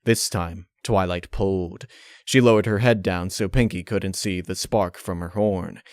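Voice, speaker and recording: A man reading a dark fan fiction story as narrator, in a measured, dramatic way. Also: sits low, fairly even intonation, clear, resonant tone, dry recording, clean studio sound. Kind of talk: dark audiobook-style narration.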